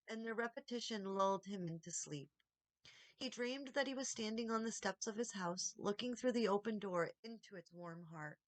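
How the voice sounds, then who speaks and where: A woman's voice: medium pitch, no room sound. Woman narrating a storybook, dry sound. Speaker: audiobook reader.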